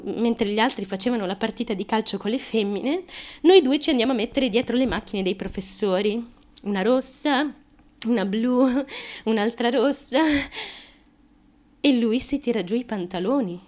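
An actress playing a troubled young woman in an intimate radio theatre monologue, confessional and crude, halting and nervous, with abrupt emotional swings. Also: on the high side, a little mellow, close to an everyday voice. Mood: nervously amused.

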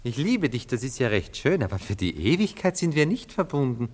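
An actor in a classic German radio play, playing a flippant, evasive bachelor lover who is glib and theatrical. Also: male voice, medium pitch, sing-song, fairly quick, darkish tone. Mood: glib, teasing.

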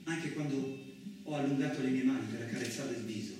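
An actor playing a battered, bewildered truck driver in a theatrical radio monologue, speaking in a halting, repetitive, colloquial way. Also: male voice, medium pitch, over music, close to an everyday voice. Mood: dreamy, anguished. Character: battered, bewildered, rough-spoken Italian truck driver telling his ordeal. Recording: very echoey, distant, clearly noisy recording.

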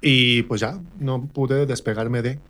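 A man with a central Mexican accent speaks at a middle pitch in a casual interview. He tells stories about himself conversationally, slipping into little re-enactments of what people said. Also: fairly even intonation, takes it slowly, a bit bright. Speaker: interview guest, stage actor. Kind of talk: casual personal storytelling in a radio interview.

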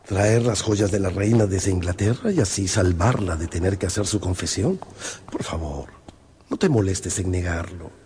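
An actor playing a haughty, imperious cardinal in a radio drama, with a raspy voice and deliberate delivery. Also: male voice, low-pitched, dark tone, a little hiss or hum, lightly acted. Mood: smooth, commanding. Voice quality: husky, raspy. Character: haughty, menacing powerful male cardinal. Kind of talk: Spanish-language radio drama acting. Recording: long hall-like reverb.

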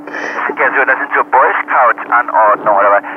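A man's voice: medium pitch, fairly quick. Irritable man ranting down a noisy phone line. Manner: irritable, argumentative, ranting, interrupting. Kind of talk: heated phone conversation. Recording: muffled noisy telephone line recording.

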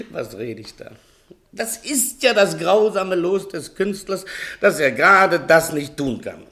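A theatrical, self-pitying fat servant, wildly swooping. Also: male voice, sits high, very animated, takes it slowly, heavily acted. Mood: anguished declaiming. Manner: melodramatic, wheedling, swinging from hushed to declaiming. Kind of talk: 1960s German radio play acting.

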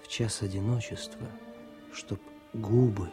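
Slow-spoken war correspondent, acted by a man, over music. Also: lowish voice, fairly even intonation, lightly acted. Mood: tender, lyrical. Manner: restrained, lyrical, measured. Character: quiet, thoughtful male war correspondent and poet. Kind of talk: Soviet radio play acting with verse recitation. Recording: music mixed under the voice.

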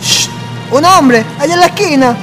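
An actor playing a bossy, gruff village man in a radio drama, speaking colloquially and commandingly with a Chilean accent. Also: very high voice, normal speed. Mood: wary. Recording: long reverb, music behind the voice.

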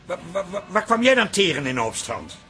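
An actor playing a dry, sarcastic middle-aged husband who grumbles and teases in a naturalistic radio drama. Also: male voice, high voice, very animated, fairly quick, bright, forceful tone, exaggerated voice. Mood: teasing, amused. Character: Mees, sardonic grumbling middle-aged husband and cafe regular.

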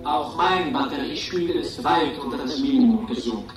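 An actor playing a courteous, unflappable servant robot with formal, deferential manners in a German radio drama. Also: male voice, mid-range voice, fairly quick, natural voice. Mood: polite apology. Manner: formal, stiffly polite. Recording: very echoey, distant, music behind.

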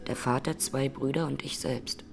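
An actress playing a grieving Armenian massacre survivor who testifies in a radio drama courtroom, plainly, solemnly and with measured words. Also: female voice, very deep voice, fairly even intonation, vocal fry, music in the background. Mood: grave, sorrowful.

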